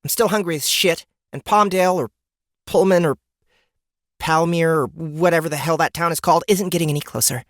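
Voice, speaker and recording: A man's voice: a little high, brisk, a bit bright, no echo, clean studio sound, voice pushed up, close to an everyday voice. A man voicing an exasperated, sarcastic, foul-mouthed woman adventurer with a grumbling manner in a fantasy audiobook. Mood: sarcastic, fed up. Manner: sardonic, grumbling.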